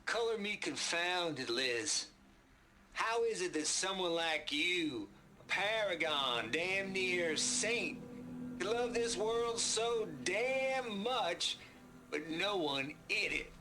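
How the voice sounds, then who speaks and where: A man's voice: high-pitched, sing-song, slow pace, exaggerated voice. A man playing a boisterous, irreverent programmer in a video game, with a Southern US accent and a theatrical, teasing drawl. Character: boisterous, folksy, irreverent male prankster programmer. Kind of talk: video game cutscene acting.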